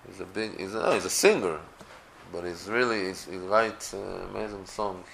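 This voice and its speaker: Israeli guy talking torah casually, gravelly bright voice, swings up and down a lot, echoey room. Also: sits low, normal speed, a little hiss or hum. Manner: animated, many restarts, rising to emphatic peaks. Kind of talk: casual religious talk.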